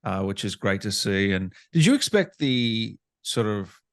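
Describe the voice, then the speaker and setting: A man's voice: on the low side, sing-song, measured pace, dry recording, studio quality. A man hosting a casual interview, speaking in an enthusiastic, supportive way. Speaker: interview host.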